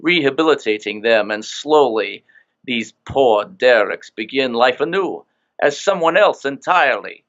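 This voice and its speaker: Smug, callous overseer played by a man: smooth-talking, dismissive, medium pitch, slightly dull lo-fi audio drama sound. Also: animated, moderate pace, fairly bright tone, lightly acted. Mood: breezy, dismissive.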